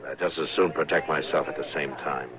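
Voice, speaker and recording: Deep-voiced, terse Western marshal. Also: male voice, steady tone, brisk, a crowd in the background. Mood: troubled, dry. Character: steady, world-weary frontier marshal, grown man, dryly plain-spoken. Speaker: radio actor. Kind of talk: old-time radio western acting. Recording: dull, lo-fi vintage radio recording.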